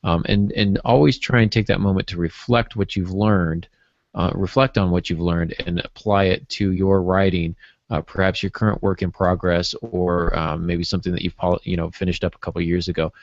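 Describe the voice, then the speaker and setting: A man co-hosting a podcast, chatting casually and giving advice in a measured, reflective way. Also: low-pitched, steady tone, creaky, dry recording. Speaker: podcast co-host, writer. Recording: dull, dry lo-fi podcast audio.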